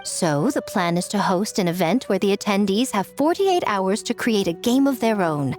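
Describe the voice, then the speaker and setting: A woman's voice: medium pitch, lively intonation, normal speed, darkish tone, soft background music, natural voice. A woman voicing a sly, elegant fox-spirit shrine maiden in a video game, coy, smug and playful in a polished manner. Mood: enthusiastic, scheming.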